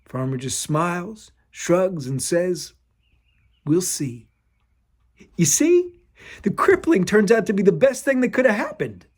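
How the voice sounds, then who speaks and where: A man's voice: high voice, lively intonation, slow pace, voice pushed up, exaggerated voice. A man voicing Sue, a folksy young male soldier telling a parable in a drawling way. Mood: storytelling.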